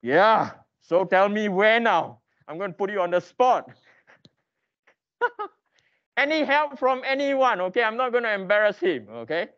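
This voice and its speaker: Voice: mid-range voice, big pitch swings, measured pace, a bit bright, dull audio. An engineering lecturer teaching interactively in a classroom, quizzing students with emphatic, repeated questions and prodding them for answers.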